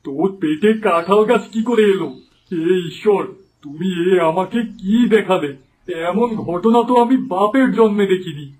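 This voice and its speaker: A man's voice: high-pitched, moderate pace, a little mellow, close to an everyday voice, poor audio. A man voicing a stern, scolding village elder and holy man in a folktale cartoon. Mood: shocked, brusque. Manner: scolding, admonishing. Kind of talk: Bengali moral folktale cartoon dialogue. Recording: music under the voice.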